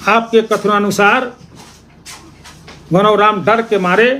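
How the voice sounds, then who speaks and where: A man's voice: high-pitched, fairly even intonation, slow pace, bright, forceful tone. A man giving an accusatory political speech, posing rhetorical questions and repeating phrases for emphasis. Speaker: opposition party politician.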